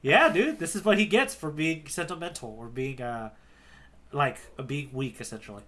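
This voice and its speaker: A man hosting a podcast, casually retelling a comic chapter, animated with many fillers and restarts. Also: on the high side, normal speed. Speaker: podcast host, tour guide.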